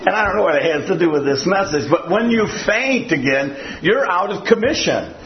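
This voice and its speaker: A man's voice: high voice, animated, fairly quick, lo-fi sound. Folksy older man preaching in an echoey church. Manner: rambling, folksy, repeats "again". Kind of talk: sermon with audience questions.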